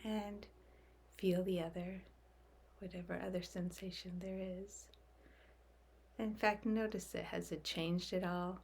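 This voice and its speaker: A woman leading a guided meditation in a gentle, soft, unhurried way, leaving long calming pauses. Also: fairly low pitch, husky.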